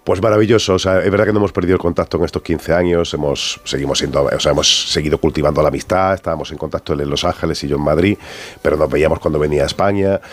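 A man's voice: rumbling low, steady tone, very fast. A man in his fifties from Andalusia with an Andalusian accent, speaking as an actor guest in a radio interview, reflective and loose with many fillers and tag questions. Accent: Andalusian Spanish. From Andalusia, Spain. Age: 50s.

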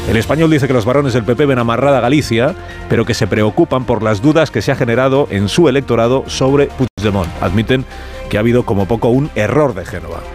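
A man's voice: medium pitch, fast, a little mellow, soft background music. A man with a Castilian Spanish accent hosting a morning radio press review, conversational, with wry asides and rhetorical questions. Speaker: radio host. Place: radio studio. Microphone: studio broadcast microphone.

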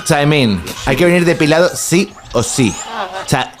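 A man's voice: fairly high pitch, lively intonation, normal speed, faint music behind, close to an everyday voice. A comedian playing a vain, muddled young male influencer with a Spanish accent, who throws in English words and mangles others. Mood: airy, rambling. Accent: Spanish from Spain. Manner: slangy, mixes in English words, fumbles words. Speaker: comedian in character.

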